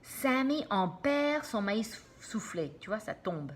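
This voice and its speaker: A woman reads a picture story to children at an unhurried pace. Her intonation is lively and dramatic, and she pauses for simple explanatory asides to her young listeners. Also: medium pitch, slight background noise. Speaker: teacher reading aloud to children.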